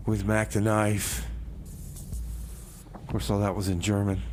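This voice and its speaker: A man's voice: mid-range voice, a little hiss or hum. A man hosting a music radio show, speaking in a hesitant, weary way. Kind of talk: music show back-announcing mixed with comedy skit chat.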